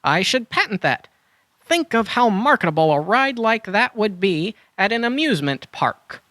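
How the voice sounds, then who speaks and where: A breezy boy adventurer, voiced by a woman, full of lively swings and cheerful overconfidence. It is performed as a children's audio drama, with a dry, close sound. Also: mid-range voice, moderate pace, dry recording. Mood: breezy, smug.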